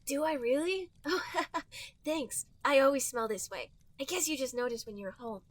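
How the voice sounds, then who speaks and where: A woman's voice: high-pitched, normal speed, dry recording. A woman voicing a nervous, overeager young male cartoon fox in a mock-dramatic fan fiction reading.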